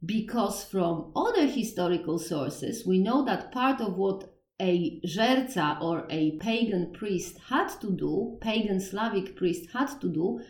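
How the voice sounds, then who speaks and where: A woman's voice: medium pitch, lively intonation, measured pace, darkish tone, roomy sound, far from the mic. A woman with a thick Polish accent, speaking English as a second language, explains history informally, thinking aloud, restarting sentences and poking fun at herself. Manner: thinking aloud, self-deprecating, frequent laughs and restarts. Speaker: educator on Slavic history.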